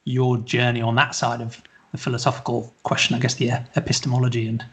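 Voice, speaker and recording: A man hosting a podcast, asking measured, encouraging interview questions. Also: mid-range voice, completely flat delivery, fairly bright tone. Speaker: podcast host.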